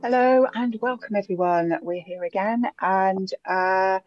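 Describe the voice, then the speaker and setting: A woman co-hosting a podcast in casual chat, talking warmly with frequent ums and little laughs. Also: mid-range voice, a little slow, no room sound, muffled recording, faint music behind. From England. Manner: chatty, giggly, hesitant with many ums. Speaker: podcast co-host.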